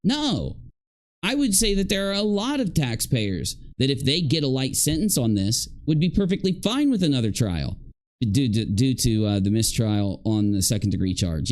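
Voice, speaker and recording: A man's voice: mid-range voice, a little mellow. A man hosting a livestream, giving casual, rambling commentary and reading aloud with grumbling, joking asides.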